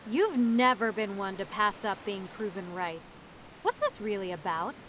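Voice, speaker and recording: An actress playing a strong-willed, idealistic scientist in an audio drama, firm and pointed, with a wounded edge. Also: female voice, medium pitch, expressive, normal speed, fairly bright tone, slight background noise, lightly acted, low-quality recording. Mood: probing.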